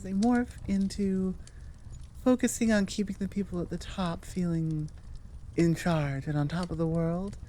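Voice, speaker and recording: A woman's voice: fairly low pitch, dark tone, a little hiss or hum. A woman, an audio roleplay creator, chatting casually to her listeners in a musing, conversational way with trailing asides. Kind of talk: casual outro chat to listeners.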